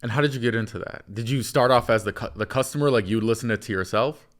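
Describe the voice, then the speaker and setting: A man's voice: medium pitch, fast. A young man hosting a podcast, chatting loosely with lots of "like" and the odd swear. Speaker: podcast host. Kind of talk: casual chat.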